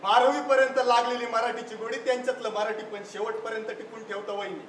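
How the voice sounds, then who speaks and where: Guy as idealistic stubborn younger brother on stage, high male voice, declaiming, echoey hall. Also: flat delivery, quick pace, a bit bright. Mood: earnest. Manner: impassioned, declamatory. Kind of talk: live one-act stage play acting. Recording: echoey distant stage recording, faint noise.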